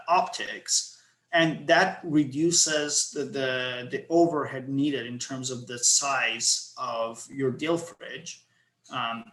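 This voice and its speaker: A man's voice: medium pitch, a bit bright. A man giving an online lecture on quantum hardware, hesitant with many fillers. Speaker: guest lecturer. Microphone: video call. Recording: roomy echo.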